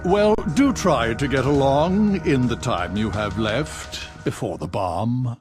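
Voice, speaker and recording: A smug, scheming official. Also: male voice, medium pitch, expressive, takes it slowly, over music. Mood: cold. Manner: condescending, smooth. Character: cold, scheming male government official. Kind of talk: video game cutscene.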